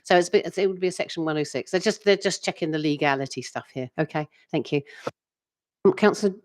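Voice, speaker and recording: A woman with a British accent chairing a council planning meeting, moving the discussion along briskly. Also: deep voice, darkish tone. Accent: British English.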